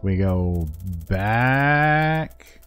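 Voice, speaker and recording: A man's voice: medium pitch, lively intonation, drawn-out words, fairly bright tone, clear, resonant tone. A man giving a casual, jokey walkthrough over gameplay, playful and informal in the way he talks. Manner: playful, informal, voices paraphrased dialogue and silly sound words. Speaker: gaming video creator giving a quest guide. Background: music.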